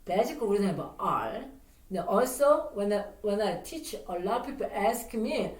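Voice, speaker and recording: A middle-aged woman with a Korean accent, teaching pronunciation in English and repeating words slowly so the student can copy them. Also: medium pitch, sing-song. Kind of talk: lively pronunciation lesson. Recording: roomy sound from a distant mic.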